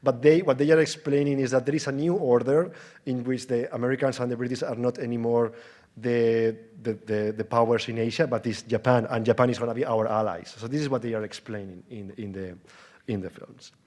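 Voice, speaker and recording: A man presenting an academic conference lecture in a halting way, with false starts, repeated words and conversational rhetorical questions. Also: mid-range voice, steady tone, darkish tone. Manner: explains through informal, conversational asides and rhetorical questions.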